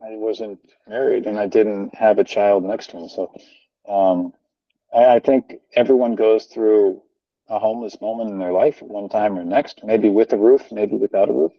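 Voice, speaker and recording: A low-voiced American man talks on a video call, earnest and rambling with plenty of ums. The audio is dull and lo-fi, with a dry, close sound and no room echo. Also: steady tone, moderate pace. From United States. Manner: rambling, filler-heavy, earnest. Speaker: guest, founder of a social organization. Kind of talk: casual conversational interview. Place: home, family moving about behind him.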